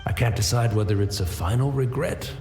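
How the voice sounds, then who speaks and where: An actor playing an elderly, humble tea house master in a game, courteous, fussy and self-deprecating. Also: male voice, medium pitch, a little fast, a little mellow, a little hiss or hum, lightly acted. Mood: resigned. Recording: roomy echo.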